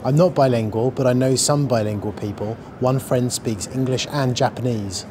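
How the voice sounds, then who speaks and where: A man's voice: medium pitch, normal speed, noisy background. A young man from Ireland giving brief, matter-of-fact answers in a street interview. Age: young adult. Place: city street outdoors.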